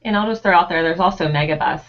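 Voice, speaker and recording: A woman's voice: lowish voice, quick pace, muffled recording. A woman in her twenties from Delaware, a graduate student on a live Q&A panel, chatty and searching for words with "um" and "like".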